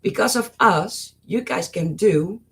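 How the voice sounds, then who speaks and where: A woman's voice: mid-range voice, animated, measured pace, creaky, fairly bright tone. A woman from the Netherlands with a Dutch accent, co-hosting a casual live stream, teasing, giggly and outspoken. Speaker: co-host, behind-the-scenes organiser.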